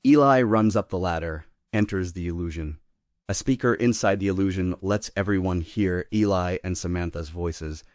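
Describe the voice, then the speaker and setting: A man serves as narrator, reading a play's stage directions from a script. His voice is deep, and the recording is dry with very little room sound. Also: moderate pace, no echo.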